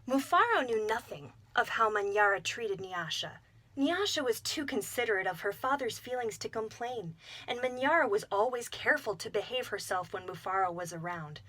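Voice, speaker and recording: A woman reading a storybook aloud to children with clear, expressive delivery. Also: on the high side, normal speed.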